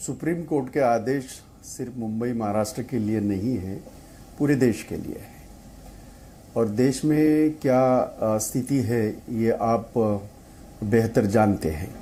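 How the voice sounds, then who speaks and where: Slow, hesitant man in a political debate. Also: mid-range voice, dark tone, slight background noise. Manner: slow and hesitant, many pauses and fillers. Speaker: political panelist.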